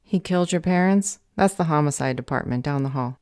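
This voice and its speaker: A woman playing a curt, officious, unhelpful clerk at a service window in an audio roleplay, deadpan and dismissive. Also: sits low, a little fast, no echo, clean studio sound, lightly acted.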